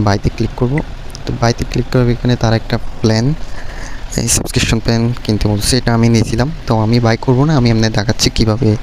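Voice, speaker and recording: A Bangladeshi man giving a casual app tutorial, explaining step by step in a repetitive, halting way. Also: on the low side. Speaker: tech tutorial YouTuber. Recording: noisy, steady vehicle-like background hum. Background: clear background noise.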